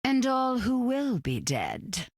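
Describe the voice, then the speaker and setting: A woman's voice: on the high side, wildly swooping pitch, slow pace, dry recording, studio quality, full character voice. A woman voicing an imperious, silky goddess of death in a video game, grand, theatrical and condescending. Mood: haughty menace.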